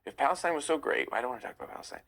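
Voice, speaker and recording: A young man with a bright, forceful voice talks close to the mic as he hosts a live stream. He chats casually and reads out viewer comments, and his voice climbs higher when he gets worked up. The pitch sits in the middle for a man. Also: expressive, rapid-fire. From United States. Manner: chatty, opinionated, gets heated and rises in pitch.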